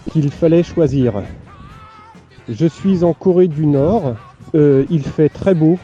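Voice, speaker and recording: A naive, earnest man, as an actor plays him, dictates a letter at a very slow, careful pace and reads every punctuation mark aloud. His voice is very dark and deep-toned. The sound is a bit muffled, with faint music underneath. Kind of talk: improvised comic letter.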